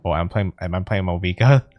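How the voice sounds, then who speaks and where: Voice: low voice, lively intonation, measured pace, a little mellow. A streamer giving casual playthrough commentary on a video game, reacting informally.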